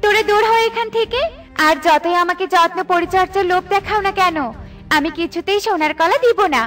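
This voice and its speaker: Woman as arrogant talking banana tree, piercingly high voice, boastful, haughty, cartoon fable, music underneath. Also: fairly quick, a bit bright. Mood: scornful, angry. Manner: haughty and dismissive. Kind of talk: cartoon fairy-tale dialogue acting.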